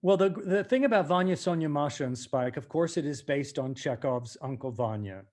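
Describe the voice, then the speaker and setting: High-voiced man speaking deliberately. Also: animated, a little mellow. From United States. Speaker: actor, interview guest. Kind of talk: reflective interview conversation.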